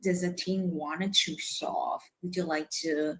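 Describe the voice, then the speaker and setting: A woman's voice: fairly low pitch, steady tone, takes it slowly. A woman hosting a live audio session, welcoming guests in an upbeat, chatty way. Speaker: livestream host.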